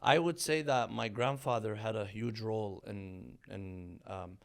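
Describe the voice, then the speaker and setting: A man in his thirties, born in Iran, a religious scholar speaking as a guest in a relaxed interview with a measured, thoughtful manner. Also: lowish voice, vocal fry. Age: 30s. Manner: measured, thoughtful storytelling with fillers. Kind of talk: conversational interview.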